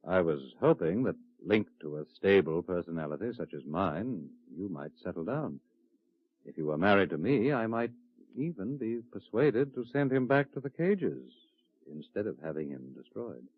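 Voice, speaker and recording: A man's voice: sits low, very animated, moderate pace. An actor playing a cold, controlling male state official, smug and coldly sarcastic, in a vintage radio drama. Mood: icy. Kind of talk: 1950s radio drama acting. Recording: dry, muffled vintage radio broadcast.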